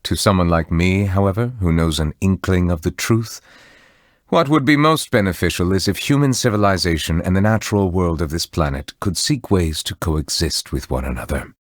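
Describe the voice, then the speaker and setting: A male voice actor playing a solemn, refined judge of otherworldly nature in a video game, speaking in a formal, measured, eloquent manner. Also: sits low, animated, dry recording, clean studio sound. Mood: earnest, measured. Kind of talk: scripted game character voice lines.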